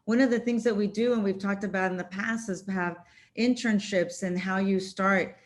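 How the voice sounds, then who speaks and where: A woman's voice: medium pitch, fairly even intonation, normal speed. A woman moderating a virtual conference, introducing a speaker and asking questions in a hesitant way with many fillers.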